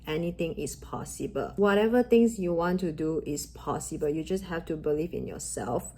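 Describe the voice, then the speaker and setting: A woman's voice: deep voice, moderate pace, darkish tone. A young woman from Malaysia with a Malaysian accent, a Mandarin native speaker, earnestly giving a motivational talk in her vlog. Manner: earnest, encouraging, with grammar slips of a second-language speaker. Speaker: vlogger.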